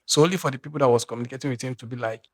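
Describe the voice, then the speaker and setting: A man's voice: medium pitch, rapid-fire, darkish tone, no room sound, studio quality. Rambling Nigerian man hosting a reaction channel. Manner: rambling, restarts sentences, thinks aloud. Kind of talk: casual reaction commentary.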